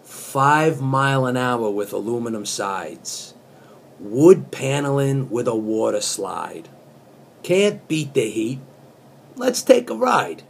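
A man in his fifties reciting in a deliberate, mock-serious way with casual asides, recorded in a small room on a webcam. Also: medium pitch, gravelly, slight background noise. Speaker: comic host reading song lyrics as poetry. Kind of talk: dramatic spoken recitation of pop lyrics with casual asides.

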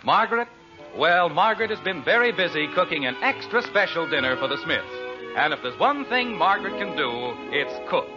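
Over music, a wry radio comedy narrator on a dull 1940s recording. His slightly high voice delivers scripted narration with mock solemnity. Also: animated, fairly quick.

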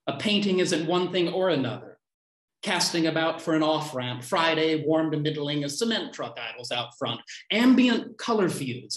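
A man in his fifties reads poetry in a fairly high voice for a man. He delivers short lines deliberately, with pauses between them. The sound is a roomy, echoey video call from a room at home. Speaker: poet reading own poems. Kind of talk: live poetry reading. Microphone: computer mic on a video call.

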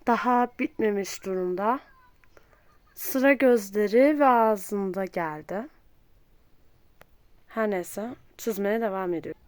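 A person chatting casually to viewers while drawing, halting and often breaking off mid-thought. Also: medium pitch, a little mellow, smooth. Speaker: host of a drawing channel.

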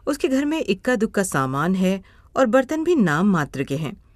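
A woman's voice: mid-range voice, sing-song, moderate pace, darkish tone, dry recording, studio quality. A woman narrating a scripted moral story as its storyteller.

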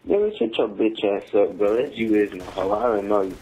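A man's voice: lowish voice, very animated, a little fast, dark tone. A man arguing confrontationally on a phone call in profane slang. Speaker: prank call recipient. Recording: phone call with faint noise.

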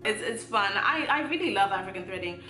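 A woman's voice: medium pitch, lively intonation, a little fast, bright, forceful tone, soft background music. Chatty woman in a roomy room. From Zimbabwe. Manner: chatty, upbeat, playful asides. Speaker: natural hair vlogger. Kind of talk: casual tutorial chat. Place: bedroom, indoors.

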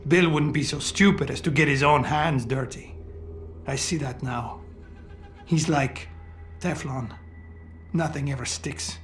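A man's voice: medium pitch, measured pace, pauses often, a bit bright, soft background music, lightly acted. An actor playing a middle-aged, guilt-ridden, defeated doctor in a video game, brooding and formal in his delivery. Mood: subdued, resigned. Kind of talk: dramatic video game cutscene acting.

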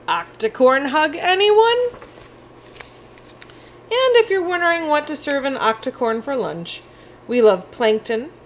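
A quirky little octopus-unicorn, read aloud by a woman with very swoopy, animated pitch. The storybook creature is friendly and a touch lonely, and there is clear room noise behind. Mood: hopeful, friendly. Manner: animated, childlike storybook delivery. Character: friendly, quirky, slightly lonely young octopus-unicorn picture-book character.

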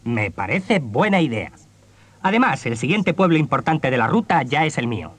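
An actor playing an earnest, poor peasant man with a husky, expressive voice on a storybook cassette. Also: medium pitch, moderate pace. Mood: friendly.

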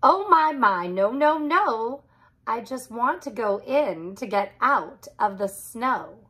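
A woman's voice: on the high side, expressive, slow pace, sharp tone, slightly rough, full character voice. A woman voicing a mischievous, carefree male cat from a storybook, breezy and boastful. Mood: playful, bossy.

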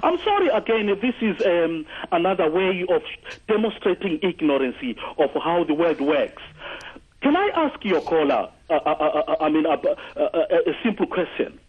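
A man's voice: on the high side, very animated, moderate pace, husky. A man from Zimbabwe calling into a radio talk show, arguing earnestly and stumbling through many fillers and restarts. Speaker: caller. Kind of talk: call-in radio commentary. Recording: tinny phone line on radio.

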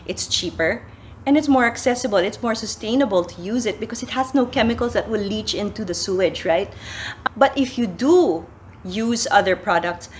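A woman's voice: on the high side, a little hiss or hum. A middle-aged woman with a Filipino accent, chatting casually to camera with sustainable laundry tips, slipping in Tagalog phrases, recorded in a small plain room. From Philippines. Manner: chatty, switches into Tagalog phrases, animated gestures. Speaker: sustainability vlogger.

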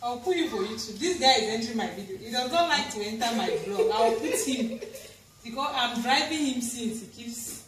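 A woman's voice: on the high side, a little fast. Cameroonian woman vlogging in an echoey room. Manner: expressive, exclamatory, chatty. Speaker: home vlogger. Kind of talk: casual chat to camera while doing housework. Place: tiled home kitchen, indoors. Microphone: camera or phone at arm's length and further. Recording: echoey tiled room with clear noise.

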